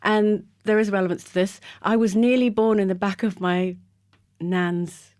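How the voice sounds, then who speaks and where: Woman in her fifties, podcast guest, mid-pitched storytelling, dry close mic. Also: steady tone, normal speed, dry recording. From Trinidad, Scottish parents. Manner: warm storytelling, candid. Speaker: guest, TV presenter. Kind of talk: casual interview chat.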